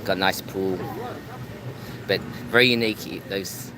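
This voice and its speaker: A man with a fairly low voice chats through a phone live stream from a beachside spot. He rambles casually and keeps repeating words as he restarts. Clear street and vehicle noise runs underneath him. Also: steady tone, unhurried. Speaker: travel vlogger. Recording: outdoor traffic noise.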